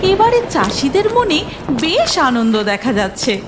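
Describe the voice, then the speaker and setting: A woman narrating a cartoon folk tale in an expressive, scripted storytelling style. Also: sits high, unhurried, noisy background.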